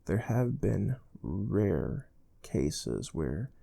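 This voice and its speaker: Stern, calm space research recruiter, played by a man, ASMR role play; low-pitched, soft-spoken, very slow, formal delivery. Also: creaky, exaggerated voice. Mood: calm, instructive. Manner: measured, deliberate, formal.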